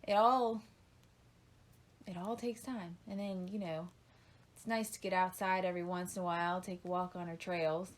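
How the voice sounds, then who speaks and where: Teasing, laughing wife on a podcast. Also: female voice, fairly low pitch, steady tone, normal speed. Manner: laughs often, gently teasing and correcting. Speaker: co-host, the host's wife. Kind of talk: casual chat with her husband.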